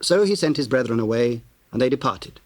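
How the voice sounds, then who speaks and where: A male narrator reads scripture as part of an audio drama. His delivery is measured and formal, with a solemn tone and a medium-pitched voice. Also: lively intonation, no echo.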